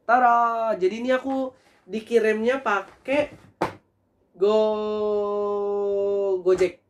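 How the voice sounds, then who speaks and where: A young man in a casual food review vlog, talking in a chatty, animated way to his viewers while seated at a table in a small room. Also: high voice, drawn-out words, noisy background. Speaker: food vlogger.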